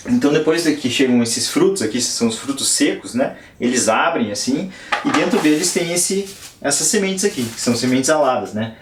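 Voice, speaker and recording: A man's voice: fairly high pitch, noisy background. A Brazilian man, a worker at a plant nursery, explaining the work to an interviewer on site with many fillers and restarts. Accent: Brazilian Portuguese. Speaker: nursery worker at a conservation NGO. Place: plant nursery and lab on a rural property.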